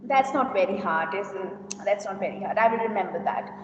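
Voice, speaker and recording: A woman's voice: mid-range voice, expressive, fairly quick, close to an everyday voice. A woman playing an eager, stubborn young girl who pleads and insists to her mother in a classroom play. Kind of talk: classroom reader's theater dialogue. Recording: distant, dull, echoey room with noise.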